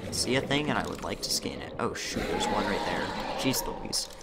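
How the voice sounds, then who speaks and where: Young guy rambling thru a game, lowish voice, a bit rough from being sick, game noise behind. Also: lively intonation, normal speed. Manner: chatty, muttering to himself while playing. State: slightly rough voice from recent illness. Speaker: gameplay commentator. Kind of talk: casual let's-play commentary.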